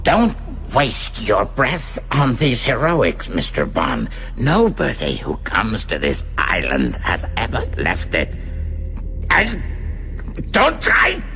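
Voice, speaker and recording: A man acting a sinister, grandiose megalomaniac villain in a radio drama, speaking formally and theatrically with deliberate menace. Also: mid-range voice, pushed and bright, exaggerated voice. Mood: grandiose fury. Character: sinister megalomaniac villain, cold, grandiose, self-declared maniac.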